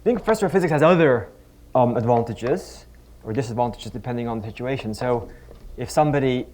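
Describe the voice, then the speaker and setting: A man's voice: mid-range voice, brisk, darkish tone. A middle-aged man from the Netherlands, a physics professor giving a conference talk with wry, self-deprecating asides and frequent restarts. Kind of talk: conference talk with humorous anecdotes. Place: conference stage before a live audience.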